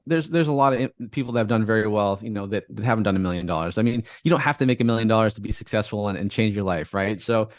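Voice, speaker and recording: A man talking casually in an interview, relaxed and rambling, with many fillers and restarts. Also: lowish voice, no room sound, studio quality. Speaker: guest, affiliate marketer.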